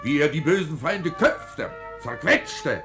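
A 1940s studio radio recording with music behind it carries a hearty storyteller with a highish, widely swinging voice. He is theatrical and mocking, exclaiming to his listeners like an old-fashioned radio player. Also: sing-song, takes it slowly. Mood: boisterous, mocking. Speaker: narrator in a radio play.

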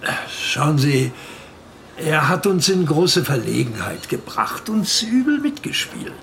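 An actor playing a talkative, excitable ship chandler with a gravelly voice, telling things emphatically in a German radio play. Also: male voice, on the high side, takes it slowly, a little hiss or hum, exaggerated voice. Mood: aggrieved, chatty. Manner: garrulous, emphatic storytelling.

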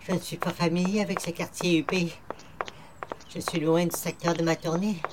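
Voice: medium pitch, level tone, moderate pace, noisy background, close to an everyday voice. An actor playing a hapless, weary churchgoer who speaks in a formal, plaintive, theatrical way in a radio comedy. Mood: flustered, complaining. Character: hapless, weary, pious churchgoer with formal old-fashioned speech. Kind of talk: old-time radio comedy acting.

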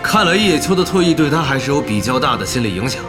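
An actor playing an analytical esports co-commentator in an audio drama, giving considered, explanatory match analysis. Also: male voice, mid-range voice, big pitch swings, quick pace, lightly acted. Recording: music behind commentary.

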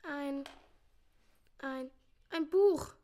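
High, slow, cocky schoolboy. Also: female voice, warm tone. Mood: halting, matter-of-fact. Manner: hesitant, then cheeky. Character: dreamy, cocky village schoolboy, bright and halting. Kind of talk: radio drama acting.

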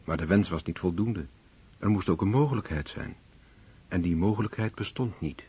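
Deep, very husky male narrator. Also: darkish tone, highs cut off. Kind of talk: scripted literary narration for radio drama.

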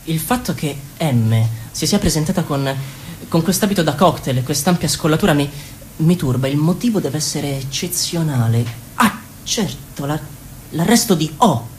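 An anxious, timid party functionary, who halts and stumbles through every phrase in theatrical style. The voice rings in a roomy space with a faint noise underneath. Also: female voice, low voice, lively intonation, a little mellow. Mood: uneasy. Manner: hesitant, stammering, halting. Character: anxious, timid party minister, a married father of four. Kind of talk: stage monologue and dialogue.

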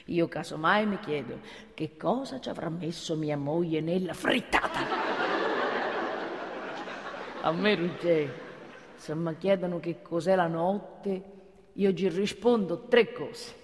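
High-voiced grumbling Roman working man. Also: a little slow. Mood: proudly wistful. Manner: colloquial, rambling, rhetorical questions. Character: gruff, working-class night guard, grumbling and earthy. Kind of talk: theatrical comic monologue. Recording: echoey hall, noisy stage recording.

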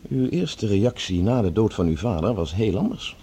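An actor playing an earnest, polite but probing scientist in formal radio drama dialogue. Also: male voice, fairly low pitch, big pitch swings, normal speed, warm tone, slightly rough, exaggerated voice.

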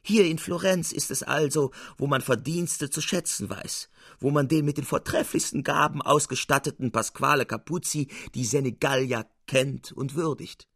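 A man playing a vain, pompous old gentleman. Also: mid-range voice, moderate pace, breathy edge, no room sound, studio quality. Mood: proud, flattered.

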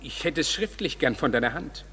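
A proud, menacing young rival in an old radio play. His voice is very raspy and tense, and it rings in a reverberant hall. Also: a little high, lively intonation, brisk, pushed and bright, heavily acted. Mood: haughty. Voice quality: haughty, threatening. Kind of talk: classic radio play acting.

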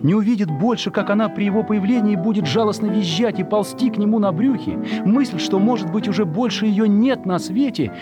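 A man's voice: high-pitched, fairly quick, hardly any pauses, music in the background. A man reading a literary story as a narrator, expressively and with emotion, in a scripted dramatic style.

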